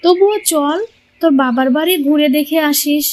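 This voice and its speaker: A woman's voice: high-pitched, moderate pace, a little mellow, a little hiss or hum, lightly acted. A woman voicing a poor, weary widowed village mother in a cartoon, tender and plaintive, loving but doubtful. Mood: doubtful, resigned. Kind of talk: Bengali moral-tale cartoon dubbing.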